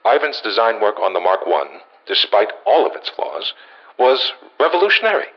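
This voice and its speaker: An actor playing a gruff, wry male senior scientist, dictating a formal yet musing, dryly humorous audio log for a game through a radio-like filter. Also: on the low side, very animated, normal speed. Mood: awed, admiring. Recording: muffled lo-fi audio log recording.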